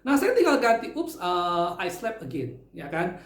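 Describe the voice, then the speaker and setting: A man from Indonesia casually giving tips as the channel host, animated and chatty, dropping English phrases into his talk. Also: sits high, unhurried. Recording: roomy echo.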